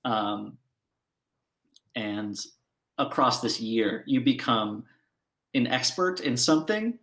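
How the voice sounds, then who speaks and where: A man running a tabletop game as game master, describing scenes in a relaxed way with frequent fillers. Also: mid-range voice, takes it slowly, reverberant.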